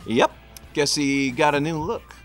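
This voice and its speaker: A man's voice: a little high, a little slow, soft background music, lightly acted. A man playing a cocky young superhero with simmering anger and a wry, swaggering manner in a script read. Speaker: actor in a table read. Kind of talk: comic-book film script table read.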